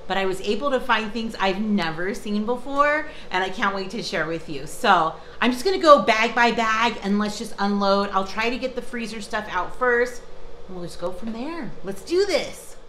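Upbeat woman vlogger in her fifties, bright tone, casual kitchen chat to a close camera. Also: medium pitch, animated, normal speed, slight background noise. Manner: chatty and upbeat, with frequent fillers and restarts. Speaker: vlogger showing a grocery haul. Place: home kitchen, indoors. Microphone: camera close up, in front of her. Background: ice machine running.

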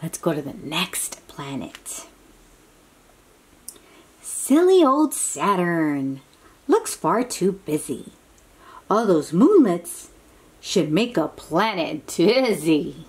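A woman hosting a picture-book read-aloud for young children, exclaiming and asking coaxing questions in an animated manner. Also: lowish voice, slow, pauses often, breathy edge.

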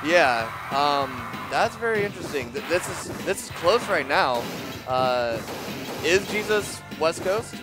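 A man's voice: a little high, animated, a little slow. A man doing casual live co-commentary on a video game match. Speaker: esports tournament co-commentator. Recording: music behind, live event stream. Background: game music.

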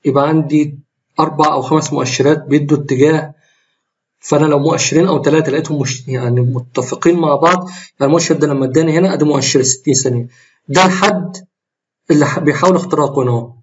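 A man with an Egyptian Arabic accent, voice a little tired and hoarse, talking through his trades in a screen-recorded walkthrough, often breaking off and correcting himself. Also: on the high side, fairly even intonation, very fast, dull audio. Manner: rambling, self-correcting, explains while trading live. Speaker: trading tutorial host.

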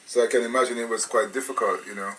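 Bright-voiced man hosting radio. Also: mid-range voice, fairly even intonation, quick pace, recorded from a distance, rough recording. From England. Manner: rambling, many restarts and fillers. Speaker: radio show host. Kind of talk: casual interview chat.

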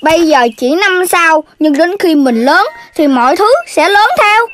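A woman's voice: lively intonation, fairly bright tone, a little hiss or hum, exaggerated voice. A woman voicing a boastful, big-bellied young animal in a children's cartoon, with a childlike, whiny voice. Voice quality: high, childlike. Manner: boastful, whiny when tired, drawn-out. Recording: music playing behind the voice.

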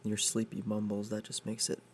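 A man speaking softly and affectionately as a doting boyfriend in an intimate, hushed ASMR roleplay. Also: lowish voice, completely flat delivery, a little fast, slight background noise. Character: affectionate, doting young boyfriend soothing his sleepy partner.

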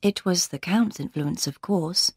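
A woman voicing a determined, protective and outspoken gentlewoman heroine in an audiobook, forthright and earnest. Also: lowish voice, measured pace, slightly rough, dry recording, studio quality. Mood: concerned.

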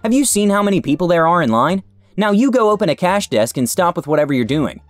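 A man putting on a shrill demanding rude woman. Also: very animated, fast talker, no echo, soft background music. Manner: demanding, accusing. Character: rude shouting entitled woman customer.

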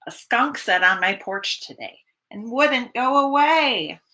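Bright, animated woman reading to children. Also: fairly high pitch, low-quality recording. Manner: expressive, deliberate teacherly delivery. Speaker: children's library storytime host. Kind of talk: picture-book read-aloud for young children. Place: small home room, indoors.

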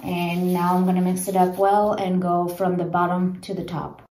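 A woman in her thirties chatting casually through a home hair tutorial, with many fillers and a gentle, way of talking, filmed on a propped phone in a small bedroom. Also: fairly low pitch, flat delivery, normal speed. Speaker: beauty vlogger. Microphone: phone camera propped low. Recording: echoey small room.

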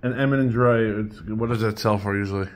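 A man with a fairly low voice chats as an interviewer in an indoor room. He is informal, thinking aloud between his questions, and speaks from behind a phone camera. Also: fairly even intonation, a little fast. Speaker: interviewer, beverage seller. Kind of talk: casual chat, interview questions.

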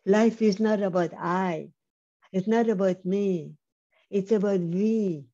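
An older woman giving a measured, emphatic personal testimony over a video call, speaking slowly with pauses. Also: mid-range voice, warm tone. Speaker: Holocaust survivor speaker. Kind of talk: slow personal testimony talk. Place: home room on video call. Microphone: webcam mic on video call. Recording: slightly dull lo-fi video call audio.

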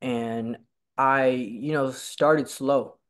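A man's voice: medium pitch, fairly even intonation, extremely slow, a bit bright. A young man who stutters, talking on a video call from home, with lots of fillers and repeated words. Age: young adult. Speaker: guest. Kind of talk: casual video-call interview. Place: home room by a staircase. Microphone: laptop webcam on a video call.

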